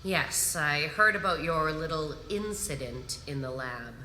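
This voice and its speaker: Bright-voiced commanding woman marshal. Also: low-pitched, lively intonation, a little hiss or hum, heavily acted. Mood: ominous, measured. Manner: measured, authoritative, probing. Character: commanding, probing grand marshal, composed imperial woman leader. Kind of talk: sci-fi audio drama acting. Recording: echoey hall reverb, music underneath.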